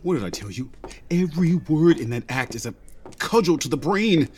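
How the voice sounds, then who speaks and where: Man as a sardonic producer, swooping pitch. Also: lively intonation, normal speed, a little mellow, slight background noise, close to an everyday voice. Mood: scheming, exasperated. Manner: dry, sarcastic, emphatic. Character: sardonic, scheming, domineering male theatre producer. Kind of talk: audio comedy drama acting.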